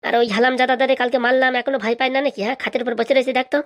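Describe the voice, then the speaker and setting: A woman's voice: high-pitched, little pitch movement, rapid-fire, dry recording, studio quality, lightly acted. A woman voicing a bossy little cartoon boy who leads a gang and bullies others with a childish lisp. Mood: scolding. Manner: bossy, threatening, scolding. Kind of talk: comic cartoon dialogue.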